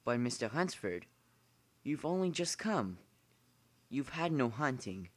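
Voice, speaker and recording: A man's voice: medium pitch, big pitch swings, measured pace, no echo. A man playing an urbane, smug aristocratic general in an amateur drama, speaking in a formal, genteel manner. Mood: suavely persuasive. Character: urbane aristocratic male general who hunts people for sport. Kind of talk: amateur short story dramatization.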